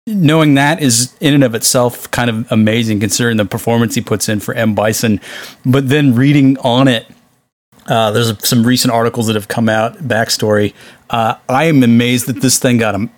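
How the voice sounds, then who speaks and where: A man's voice: mid-range voice, dry recording. A man chats casually as a podcast co-host. His voice sits in a middle register with very little room sound. His delivery is informal and wryly amused. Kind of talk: casual chat about movies.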